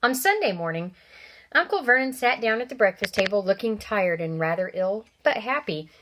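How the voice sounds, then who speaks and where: A woman reading a children's novel aloud, with animated character voices and chatty asides to young listeners. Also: medium pitch, normal speed, poor audio. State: coughing from allergies. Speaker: reader.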